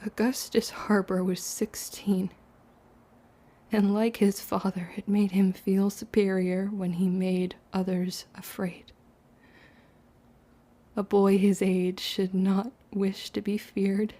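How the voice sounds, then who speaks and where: Young witch girl, guarded, wounded, archaic diction, even pitch, soft-edged tone, audio drama storytelling. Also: takes it slowly. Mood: bitter. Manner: archaic, formal period diction. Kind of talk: confessional first-person audio drama narration and dialogue.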